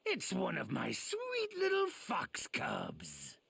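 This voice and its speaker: A performer voicing a huge, hungry, unhinged demon in an anime game, gravelly and drawn out in a taunting manner. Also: mid-range voice, wildly swooping pitch, exaggerated voice. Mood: gloating, taunting. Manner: drawn-out taunting, bursts into shouting.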